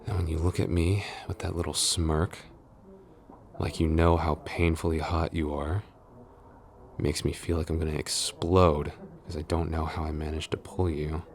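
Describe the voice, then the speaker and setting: A man's voice: rumbling low, level tone, moderate pace, creaky. A man playing a flustered tsundere boyfriend and coworker, grumbling but smitten, in an intimate, hesitant, mock-scolding ASMR audio roleplay. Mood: grudgingly affectionate. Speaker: voice actor.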